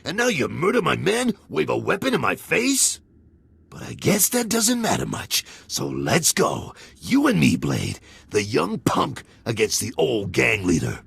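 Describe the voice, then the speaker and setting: An actor playing a defiant, betrayed old gang leader in a video game, rough and raspy, talking tough. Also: male voice, a little high, huge pitch swings, a little slow, a bit bright, full character voice. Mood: hurt, angry. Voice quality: raspy, gravelly. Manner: rough, challenging tough talk. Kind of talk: dramatic video game acting.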